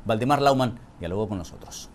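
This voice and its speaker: A middle-aged man narrating a local news report in a measured, formal reading style. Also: on the low side, expressive, a little hiss or hum. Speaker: news anchor.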